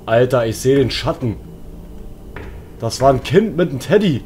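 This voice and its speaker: A man's voice: mid-range voice, animated, normal speed, a little mellow, a little hiss or hum. A man giving casual, colloquial, joking commentary as he plays a horror game, talking to himself and his viewers. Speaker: let's player.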